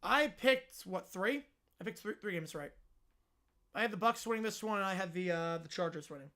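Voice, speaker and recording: A man hosting a live sports reaction stream, chatting casually and answering viewers, his voice hoarse and worn out. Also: high-pitched, animated, normal speed, bright, forceful tone. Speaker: livestream host.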